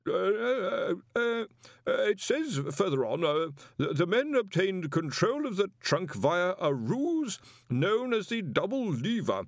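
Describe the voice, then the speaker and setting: A man's voice: high-pitched, very animated, no echo, clean studio sound, pitched above the performer's own voice, full character voice. A man voicing a nervous young professor who stammers as he reads aloud. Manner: stammering, halting.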